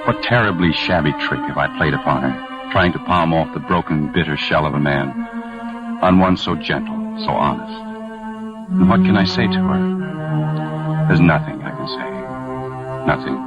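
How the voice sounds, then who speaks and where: An actor playing a scarred, bitter but tender husband in a 1940s radio drama, speaking earnestly and dramatically. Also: male voice, very deep voice, animated, moderate pace, pauses often. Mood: bitter, anguished. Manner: earnest, brooding, dramatic delivery. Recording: dull old 1940s radio recording with music.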